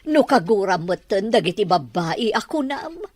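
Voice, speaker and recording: A woman's voice: mid-range voice, very animated, moderate pace, a little hiss or hum, lightly acted. An actress playing an anxious, pleading elderly mother who longs for a grandchild in a Filipino radio drama.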